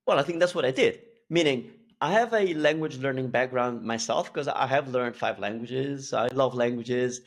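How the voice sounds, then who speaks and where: A man speaking in a relaxed, easygoing way as a guest in a casual interview, often restarting his sentences. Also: mid-range voice, normal speed, hardly any pauses. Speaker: guest, language school founder.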